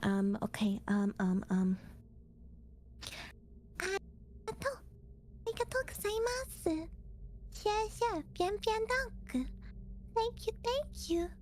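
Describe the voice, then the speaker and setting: A woman chatting playfully on a livestream in an excitable, childlike way, often repeating words and exclaiming. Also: very high-pitched, takes it slowly, long pauses, a little hiss or hum. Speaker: streamer co-hosting a live stream. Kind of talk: casual playful livestream chat.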